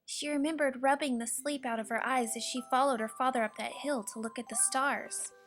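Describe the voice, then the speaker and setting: A woman narrating a children's storybook with expressive, deliberate bedtime-story phrasing. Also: high voice. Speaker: storybook reader. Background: soft music.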